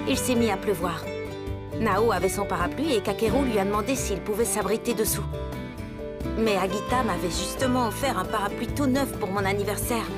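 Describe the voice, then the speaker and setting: A woman playing a lively, teasing high-school girl in a French anime dub, expressive and quick to exclaim or bicker. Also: close to an everyday voice.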